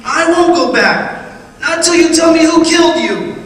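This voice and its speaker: A high-voiced condemned man, played by a male radio actor, pleading and agitated. The tone is sharp and strained, insistent in the manner of vintage radio horror. It sounds distant, swimming in heavy echo. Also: animated, a little slow, full character voice. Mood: defiant pleading. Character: desperate condemned man pleading with ghosts of the dead. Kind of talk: old-time radio horror acting. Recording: echoey reverberant radio drama, distant, hissy.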